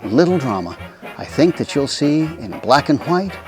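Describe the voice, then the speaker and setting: A man's voice: fairly high pitch, very animated, moderate pace, over loud music. A man hosting with a scripted radio introduction, speaking conversationally straight to the listener. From United States. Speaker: host.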